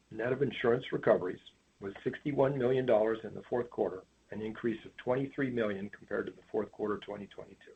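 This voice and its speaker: A man's voice: medium pitch, vocal fry. A man presenting prepared financial remarks on an earnings call in a formal, read-out manner. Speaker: company finance executive. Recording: tinny phone line with background noise.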